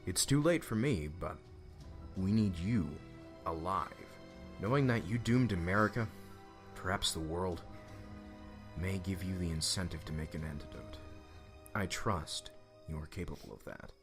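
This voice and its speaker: A man's voice: deep voice, animated, measured pace, soft background music. A man playing a doomed researcher, grave and accusing, in an audio drama. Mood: accusing, resigned.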